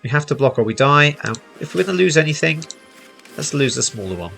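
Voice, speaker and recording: Bright-voiced man with some creak, explaining gameplay. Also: fairly high pitch, very animated, fairly quick, faint music behind. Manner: explanatory, step by step. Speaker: host, gaming teacher. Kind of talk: casual tutorial commentary over gameplay.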